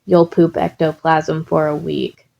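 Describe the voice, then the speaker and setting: Woman doing a sleepy solemn teen boy, total deadpan, murmury, story reading. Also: unhurried, creaky, dull audio, heavily acted. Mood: drowsy, flat. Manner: deadpan, low-key, murmuring.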